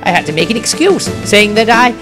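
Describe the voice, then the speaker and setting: A man's voice: sits high, big pitch swings, a little fast, music in the background. A man voicing a fretful, self-effacing wizard engineer in a fantasy audiobook diary, with a British accent and fussy, wry asides. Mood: flustered.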